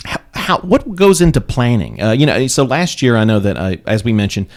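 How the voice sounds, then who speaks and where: A man hosting a radio interview, asking questions in a halting way with many fillers and restarts. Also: mid-range voice, sing-song. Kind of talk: casual radio interview talk. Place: radio studio.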